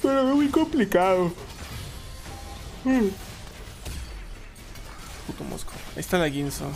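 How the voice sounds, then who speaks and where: A young man, chatting casually while playing a strategy game, reading chat and breaking into loud exclaims. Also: high voice, big pitch swings, a little slow, gravelly. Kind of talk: casual live gameplay commentary. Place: small room at home. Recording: game music behind the voice.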